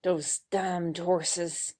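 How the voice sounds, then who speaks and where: An actress playing an imperious but warm-hearted young lady of the house, bossy and emphatic, in a satirical audio play. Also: on the low side, level tone, extremely slow. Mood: reproachful.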